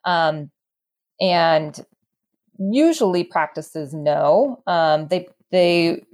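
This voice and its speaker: A woman guest explaining real estate matters in a conversational interview, thoughtful and halting as she works through her points. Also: fairly low pitch, animated, darkish tone.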